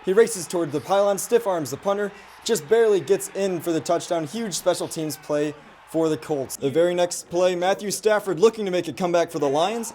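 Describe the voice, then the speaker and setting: A man's voice: sits high, a little fast, a little mellow, slight background noise. A man in his twenties with an American accent, working as a student sports reporter and play-by-play announcer. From United States. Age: 20s. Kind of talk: sports reporting, highlight reads and play-by-play commentary.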